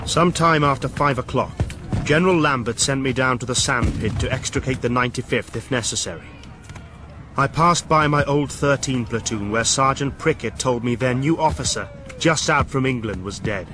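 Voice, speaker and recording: A man's voice: medium pitch, normal speed, over music, close to an everyday voice. A man acting a stoic, seasoned British army officer narrating his war memories in a radio drama. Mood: sober, reflective. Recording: clear outdoor battlefield noise behind.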